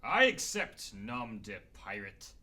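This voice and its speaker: An actor playing a witty, defiant superhero who banters with cool theatricality in a radio drama. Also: male voice, on the low side, very animated, bright, forceful tone, exaggerated voice. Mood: defiant, grave. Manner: bantering, deliberate delivery. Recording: noisy, slightly distant microphone.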